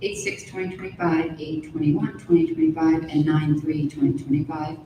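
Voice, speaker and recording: A woman's voice: fairly even intonation, normal speed, highs cut off. A woman formally reading a list of finance committee items at a school board meeting. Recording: echoey room from far off, faint rumble.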